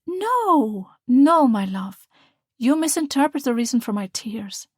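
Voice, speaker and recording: A woman reading the part of a calm, loving mother, speaking softly and tenderly. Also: high voice, unhurried, husky, dry recording, studio quality, pitched above the performer's own voice, heavily acted. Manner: soft, tender, reassuring.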